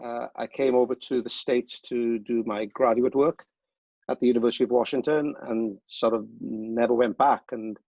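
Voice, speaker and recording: A man with a Welsh accent, a professor, introducing himself over a video call in a relaxed, plain-spoken way. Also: mid-range voice, moderate pace, no room sound. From Wales. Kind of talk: interview guest. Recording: dull lo-fi audio.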